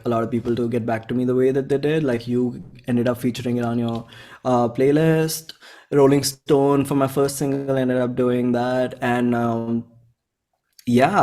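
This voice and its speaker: A man's voice: medium pitch, darkish tone. A man in his twenties with an Indian accent, chatting casually as an interviewed musician in an informal, slangy, rambling way. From Bhopal, India. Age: 20s. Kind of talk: casual chat.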